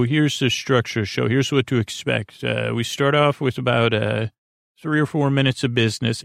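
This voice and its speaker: Creaky, flat-pitched man hosting a sleep podcast. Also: no echo, clean studio sound. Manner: meandering, halting, full of pauses; lulling, soothing. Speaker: podcast host. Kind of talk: rambling bedtime sleep podcast monologue.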